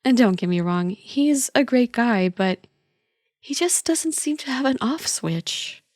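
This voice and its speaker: A woman's voice: on the high side, expressive, measured pace, darkish tone, no room sound, clean studio sound. A woman playing a friend's teasing older sister in a casual, intimate ASMR roleplay, chatty, playful and reassuring.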